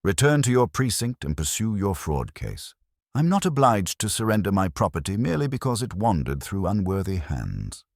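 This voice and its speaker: A man's voice: lowish voice, big pitch swings, moderate pace, no echo, studio quality, pitched above the performer's own voice. A man voicing an imperious, irritable detective in an audiobook, with formal, commanding, precise diction. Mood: smoothly defiant.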